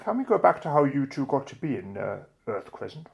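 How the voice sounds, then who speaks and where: Puzzled polite adventurer played by an actor, radio drama, hesitant, questioning, gravelly creak, animated intonation. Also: medium pitch, brisk, highs cut off. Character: puzzled, polite adventurer sibling, inquisitive newcomer. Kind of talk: radio play acting.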